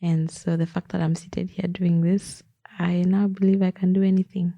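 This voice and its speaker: A woman's voice: fairly low pitch, level tone, moderate pace, gravelly, warm tone, no echo. A woman from Kenya, a youth activist speaking in an interview. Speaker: youth activist, podcast co-host. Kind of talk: interview answers.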